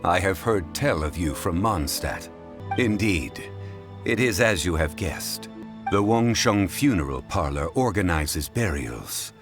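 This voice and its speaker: A composed, learned funeral consultant from a video game. His voice sits very low and moves with lively, deliberate inflection. He speaks in formal, old-fashioned, measured sentences with calm dignity, over clearly audible music. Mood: composed, solemn. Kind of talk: scripted video game character dialogue.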